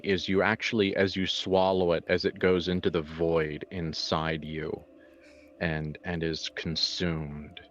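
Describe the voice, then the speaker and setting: A man improvising horror narration as a tabletop game master, halting and informal as he searches for words. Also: low voice, highs cut off.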